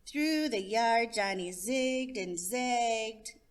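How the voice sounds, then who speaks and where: Animated woman reading a storybook. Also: a little high, extremely slow, smooth. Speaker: storytime host and reader. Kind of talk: expressive children's storybook narration.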